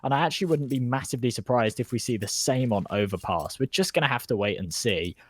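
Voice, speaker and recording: A man's voice: medium pitch, quick pace, no room sound. A man with a British accent giving casual, chatty live color commentary on an esports match. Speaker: esports analyst caster.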